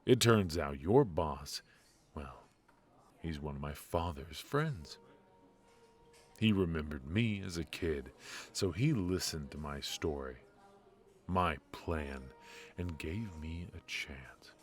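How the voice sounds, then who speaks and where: A man acting a brooding, ruthless yet remorseful mafia defector in an intimate noir ASMR audio drama, speaking in a halting, confiding way. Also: a bass voice, animated, exaggerated voice. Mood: confiding, measured. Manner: halting, hesitant, confiding delivery.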